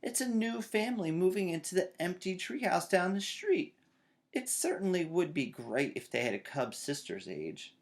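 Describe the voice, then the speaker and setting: A man's voice: sits high, sing-song, moderate pace, vocal fry, voice pushed up, full character voice. A man voicing a kindly, concerned mother bear in a storybook. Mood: hopeful.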